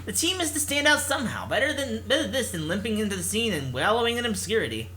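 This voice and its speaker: A man's voice: sits high, big pitch swings, fast talker, pushed and bright, a little hiss or hum, voice pushed up, heavily acted. A man voicing a cocky, carefree male pro gamer with a breezy, dismissive manner.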